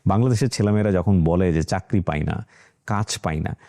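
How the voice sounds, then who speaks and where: A man's voice: deep voice, brisk, dark tone. A man with a Bangladeshi accent hosting an interview, talking conversationally and turning to address the audience, with English phrases mixed in. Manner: mixes English phrases into speech. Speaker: talk show host.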